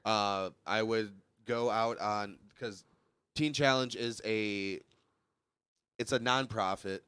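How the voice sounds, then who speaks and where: A man's voice: on the low side, fairly even intonation, slow pace, bright, forceful tone, clear, resonant tone, no room sound. A young man from Michigan, a stand-up comic guesting on a podcast, chatting casually with a congested voice and joking, rambling, laughing often.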